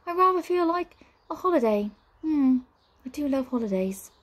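A woman's voice: sits high, lively intonation, moderate pace, voice pushed up. A woman voicing a sly, mischievous witch in a storybook, drawling with airy, mock-sweet theatricality.

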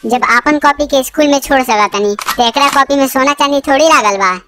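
A man voicing a cheeky young schoolboy in a comedy cartoon, with an impudent, teasing delivery and a pitched-up voice. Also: fairly quick, background noise. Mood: defiant. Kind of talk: amateur comic cartoon dubbing.

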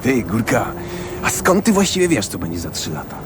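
An actor playing a cocky, teasing street lad in a radio drama, talking in blunt, colloquial slang. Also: male voice, on the low side, sing-song, moderate pace. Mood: teasing, chummy. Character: cocky, teasing Warsaw street lad, long dead friend. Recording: clear street noise behind dialogue.

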